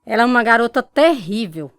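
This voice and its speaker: Casting: scornful, unforgiving Brazilian mother, high-pitched scolding voice, close dry radio drama sound. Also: expressive, brisk, no echo. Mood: bitter accusing. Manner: scolding, cutting. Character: harsh, bitter mother, spiritist, scornful and unforgiving.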